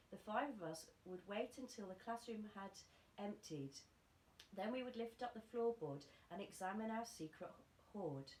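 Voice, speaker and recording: A woman's voice: mid-range voice, a little mellow. Woman reading a storybook in an echoey room. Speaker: primary school teacher reading to pupils. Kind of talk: children's storybook read-aloud. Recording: echoey room, faint background noise.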